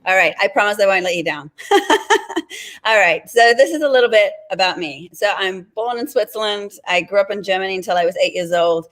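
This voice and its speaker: A woman's voice: medium pitch, a little fast, a bit bright. A woman from New Zealand with a New Zealand accent, a guest author chatting casually on a video call with many ums and restarts.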